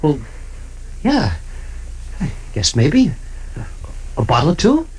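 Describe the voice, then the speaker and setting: A flustered American saloon barman, his pitch leaping about as he talks haltingly. It is folksy 1950s radio western acting on a slightly noisy old recording. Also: huge pitch swings, darkish tone, exaggerated voice. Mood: baffled, agreeable.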